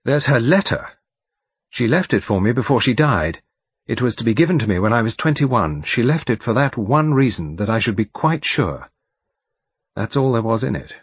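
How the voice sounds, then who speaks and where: A man's voice: mid-range voice, animated, normal speed, breathy edge, dry recording. A man reading an audiobook, voicing an earnest, determined young woman who speaks insistently. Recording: dull lo-fi recording.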